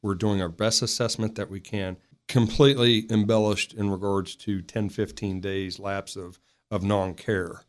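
Low-voiced man, emphatic official. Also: level tone, a little slow. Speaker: county sheriff being interviewed. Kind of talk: interview response.

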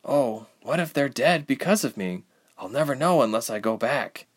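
A man's voice: medium pitch, lively intonation, moderate pace, pitched above the performer's own voice, close to an everyday voice. A man voicing an anxious, reluctant, homesick young woman in an audiobook, with a plaintive, sighing manner. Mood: sad, worried. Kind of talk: audiobook character voice.

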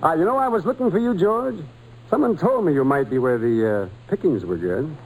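Husky man, patient fatherly brother, gentle, very expressive pitch, old radio drama. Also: fairly quick, poor audio. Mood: gentle coaxing. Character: kindly, patient religious brother at a boys' school.

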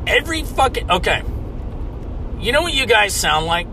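An American man from the United States delivering a loud, mock-indignant comedic rant, swearing constantly. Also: high-pitched, sing-song, normal speed, noisy background. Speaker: comedic ranter.

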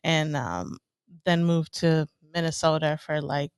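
A woman's voice: deep voice, a little slow, smooth, no room sound, clean studio sound. A woman who grew up in Ethiopia chatting casually as a guest, rambling through fillers and laughing now and then. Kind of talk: casual chat.

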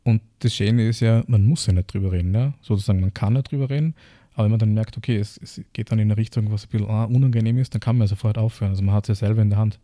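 Bright-voiced, low-pitched Austrian man. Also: steady tone, very fast, no echo, studio quality. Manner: reflective, speaks in piecemeal phrases with rhetorical questions. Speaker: podcast co-host. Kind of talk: casual explanatory podcast talk.

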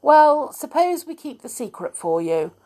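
A woman's voice: high-pitched, big pitch swings, measured pace, darkish tone, pitched above the performer's own voice. A woman voicing a stern, bossy male toy from a children's storybook, admonishing in manner.

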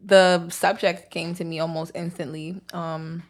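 A woman's voice: fairly low pitch, little pitch movement, unhurried. A young woman with a Brooklyn accent, chatting casually as a guest and laughing often. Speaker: interview guest, author and poet. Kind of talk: casual conversation.

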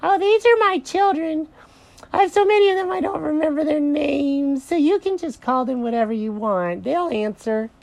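A storyteller voicing a chirpy, oblivious mother bunny rabbit with a squeaky, piercing, sugary voice. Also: normal speed, creaky, no room sound, low-quality recording. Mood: cheery, oblivious.

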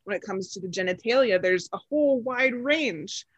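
A woman who calls her own voice low for a woman, talking in an animated, chatty way with plenty of fillers and laughs. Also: normal speed, no echo. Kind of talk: casual podcast chat.

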